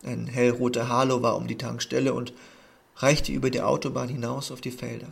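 A man reading a literary text aloud in a measured, earnest way. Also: medium pitch, little pitch movement, gravelly, slightly rough. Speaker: narrator.

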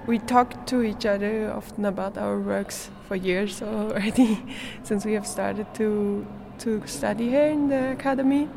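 Slow, halting young woman from Switzerland. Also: mid-range voice, vocal fry, background noise. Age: young adult. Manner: hesitant, searching for words, with small laughs. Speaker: performance artist, art student being interviewed. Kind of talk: casual interview in non-native English.

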